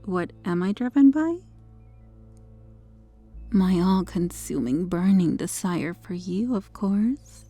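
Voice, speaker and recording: A woman playing a possessive superheroine with a hidden dark obsession in an ASMR roleplay, her manner controlled and teasing. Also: fairly low pitch, expressive, takes it slowly, long pauses, husky, soft background music, exaggerated voice. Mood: smug, possessive, teasing. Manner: smug, flirtatious, controlled.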